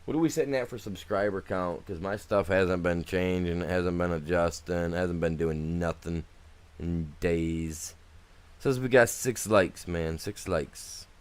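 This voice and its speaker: A man's voice: sits low, unhurried, clear, resonant tone. A young man streaming a farming game, chatting casually with his viewers and rambling as he plays, often trailing off and starting sentences over. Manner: laid-back, rambling, talks to chat, trails off and restarts. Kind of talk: casual live gameplay chat.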